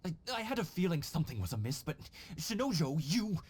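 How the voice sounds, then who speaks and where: A man playing a brisk, dutiful retainer in a video game, commanding yet respectful. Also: a little high, sing-song, darkish tone, husky. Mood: concerned. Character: brisk, dutiful retainer guarding a noble lady. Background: background music.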